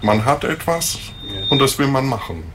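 A man in his sixties from Germany, a philosophy professor answering interview questions calmly and plainly. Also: medium pitch, a little slow, a little hiss or hum. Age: 60s.

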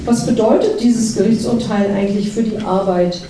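A woman moderating a panel talk, brisk with a few fillers as she introduces a question. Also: mid-range voice, fairly even intonation, warm tone. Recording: echoey hall from far off, faint noise.

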